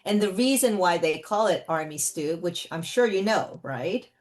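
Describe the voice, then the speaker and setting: A woman's voice: fairly low pitch, lively intonation, moderate pace. A middle-aged woman born in Korea, explaining in casual conversation full of fillers and restarts. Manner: rambling, many fillers and restarts. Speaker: interviewee.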